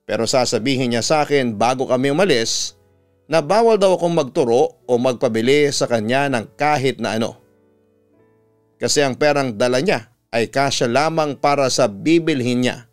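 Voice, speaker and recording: A man with a Filipino accent narrating a scripted story as a radio announcer, measured and clearly enunciated. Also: medium pitch. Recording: music bed under the voice. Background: music.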